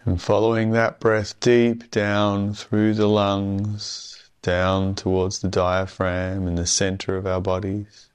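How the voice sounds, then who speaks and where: A man leading a guided meditation in a calm, soothing, measured way with long pauses. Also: on the low side, clean tone.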